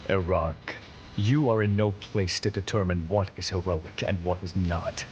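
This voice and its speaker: An actor playing a stern, dutiful space fleet admiral in a video game, with formal military diction. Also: male voice, low voice, fairly even intonation, a little slow, slight background noise. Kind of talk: video game cutscene acting.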